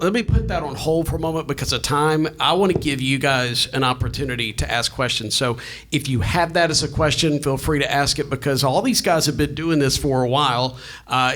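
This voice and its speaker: A man's voice: on the high side, fairly bright tone. A man moderating a live panel off the cuff, giving directions and organizing the questions as he goes. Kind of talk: unscripted event hosting.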